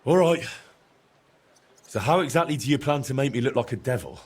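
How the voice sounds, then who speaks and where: An actor playing a practical, sceptical young male adventurer in a video game, down-to-earth, with a husky voice. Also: medium pitch, expressive, brisk, a little mellow. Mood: incredulous. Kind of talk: video game character dialogue.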